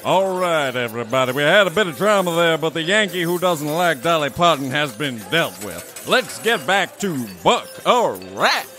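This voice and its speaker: Brief: a folksy casino announcer, lively slightly high voice. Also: male voice, moderate pace, hardly any pauses, faint music behind.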